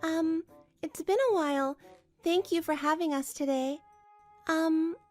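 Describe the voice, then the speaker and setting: A woman acts out a young wife in a manga drama, high-voiced and polite. She is meek and hurt, choosing her words hesitantly. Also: steady tone, a little slow, soft background music. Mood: timid.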